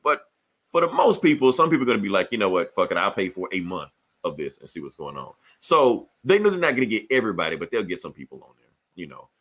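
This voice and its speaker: A man hosting a casual pop-culture podcast, chatting in a profane, joking, animated way. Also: lowish voice, quick pace. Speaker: podcast host.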